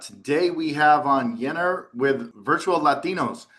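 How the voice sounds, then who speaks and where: A man's voice: a little high, measured pace, fairly bright tone. A man hosting a podcast, greeting his audience with upbeat, enthusiastic energy and chatting casually with his guest over a video call. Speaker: podcast host. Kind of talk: casual interview chat.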